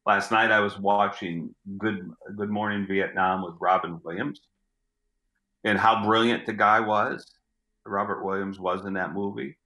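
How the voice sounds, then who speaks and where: A middle-aged man with a gruff voice, a street magician answering interview questions in a rambling way, often repeating words as he thinks. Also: fairly low pitch, fairly even intonation, a little slow, sharp tone, reverberant, low-quality recording.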